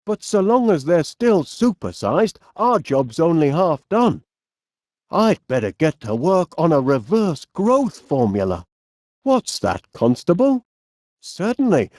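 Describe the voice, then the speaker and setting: A man's voice: high voice, no echo, studio quality, heavily acted. A man voicing a cheerful, fussy, bumbling middle-aged inventor with a Northern English accent and a chummy, dithering manner, for a comedy video game. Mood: earnest, sheepish. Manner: chummy, dithering, expressive, drawn-out delivery. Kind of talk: animated comedy game voice acting.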